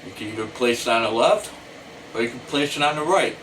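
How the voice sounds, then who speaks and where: A middle-aged man with an American accent gives an informal tutorial to camera, explaining things deliberately and repetitively. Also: mid-range voice, lively intonation, a bit bright. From United States. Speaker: talk box player giving tutorial. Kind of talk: informal instructional talk to camera. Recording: distant mic, faint air-conditioner hum.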